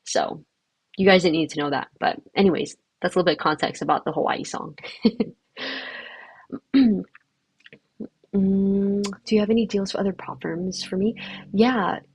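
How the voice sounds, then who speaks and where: A woman's voice: mid-range voice, unhurried, pauses often, breathy edge, no room sound. A young woman whose first language is Vietnamese, hosting a livestream in casual, upbeat chat with viewers, with many fillers. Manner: chatty and upbeat, lots of fillers. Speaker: livestream host.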